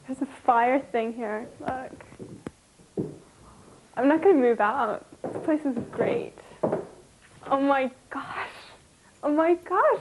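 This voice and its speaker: Young woman of eighteen, chatty and gushing, fairly high voice, noisy background. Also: a little mellow. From Germany, raised in Vienna. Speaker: model, reality show housemate. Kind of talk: casual interview and house tour.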